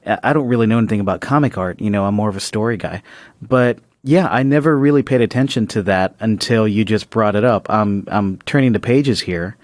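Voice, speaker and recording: A man's voice: lowish voice, fairly quick, no echo. Conversational male podcast co-host, dry sound. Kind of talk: casual discussion with story summary.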